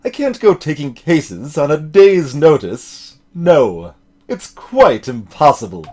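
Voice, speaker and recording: A man voicing a pompous, blustery older male lawyer from a video game, clearing his throat and leaning grandly on his words. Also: on the high side, big pitch swings, takes it slowly, mellow, exaggerated voice. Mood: blustery, dismissive.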